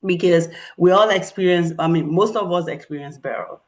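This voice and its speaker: A middle-aged woman with a Nigerian accent, a professor presenting research at a community meeting with animated storytelling and frequent fillers. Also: on the low side, normal speed.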